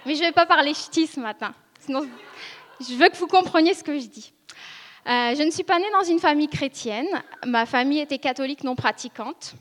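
A woman in her thirties, from northern France, speaks with a high voice. She shares her testimony with a church audience, earnest and hesitant, pausing and restarting often. Her voice carries a long reverb from the hall. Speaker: church member giving testimony. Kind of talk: personal testimony to a congregation. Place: church ministry school meeting room. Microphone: seated speaker, filmed for video.

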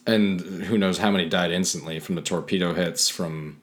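A man co-hosting a casual podcast, laid-back and quick with joking asides. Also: low-pitched, steady tone, over a noisy crowd. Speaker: podcast co-host.

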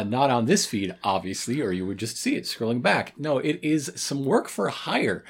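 Animated man bantering on a podcast. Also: medium pitch. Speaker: podcast co-host. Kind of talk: casual bantering podcast chat.

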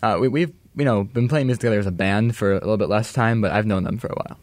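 A man's voice: fairly low pitch, racing, no echo. An 18-year-old man, a guest musician, answering interview questions hesitantly with many fillers in a radio studio. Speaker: guest musician, bass player.

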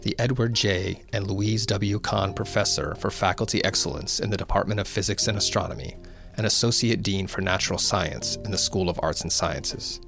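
A man with a low, gravelly voice reads scripted narration at a measured, even pace. A bed of music plays clearly beneath him. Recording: voice-over with music behind.

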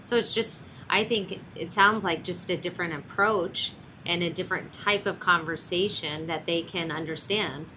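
Low-voiced woman interviewer. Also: normal speed, hardly any pauses, pushed and bright, slight background noise, rough recording. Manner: agreeable, gives brief affirmations. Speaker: interviewer, host. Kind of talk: conversational interview.

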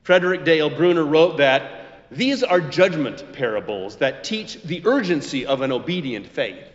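A man preaching a scripted sermon as a pastor, with measured, emphatic phrasing and deliberate pauses. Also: on the high side, animated. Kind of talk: scripted expository sermon with quotations. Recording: muffled recording in a reverberant hall.

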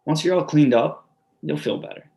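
Man acting a quiet middle-aged man, slightly gravelly. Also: fairly high pitch, normal speed, a little hiss or hum. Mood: reassuring. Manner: gentle, reassuring. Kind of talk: play reading.

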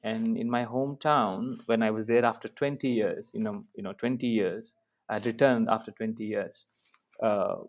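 A man's voice: fairly low pitch, steady tone, moderate pace. A man with an Indian accent, an academic guest answering in an interview, with many fillers and restarts.

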